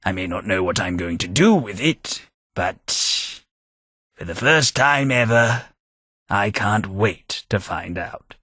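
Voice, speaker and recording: A man voicing a genteel, radiation-scarred London gentleman in a video game, formal and dryly witty with old-fashioned diction. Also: on the low side, expressive, takes it slowly, no echo, clean studio sound, full character voice. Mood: heartfelt. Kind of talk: video game character dialogue.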